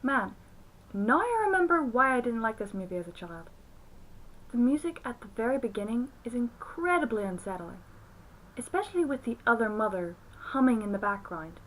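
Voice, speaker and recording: Higher-voiced woman reviewing a film. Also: big pitch swings, normal speed, fairly bright tone, poor audio. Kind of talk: scripted review commentary with acted intro skit.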